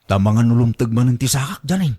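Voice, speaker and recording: A man's voice: mid-range voice, expressive, fast, mellow, lightly acted. An actor with an Ilocano Filipino accent playing a complaining married man torn between lover and children, in radio drama style.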